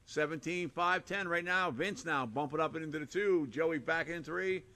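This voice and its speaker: A man calling a live RC car race with a hoarse, fading voice, rattling off names and lap times in short bursts. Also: fairly high pitch, moderate pace, sharp tone. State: hoarse, losing his voice. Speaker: race announcer.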